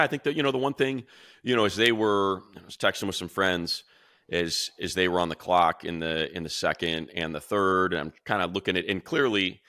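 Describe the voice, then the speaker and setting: Deep-voiced, forceful sports radio man. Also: dry recording. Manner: energetic, rambling, mimics fans in a high voice. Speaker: radio play-by-play announcer co-hosting. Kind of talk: animated sports talk.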